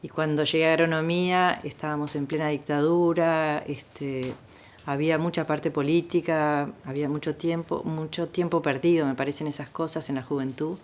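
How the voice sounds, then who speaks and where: A woman's voice: low voice, fairly even intonation, moderate pace. A Uruguayan woman in her sixties with a Uruguayan Spanish accent, telling her life story in an interview, with frequent "este" fillers. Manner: frequent "este" fillers, easygoing rural warmth. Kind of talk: relaxed personal storytelling.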